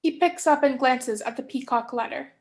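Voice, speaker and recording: A woman's voice: high-pitched, moderate pace. A young woman reading stage directions as scripted narration in a play reading. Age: young adult.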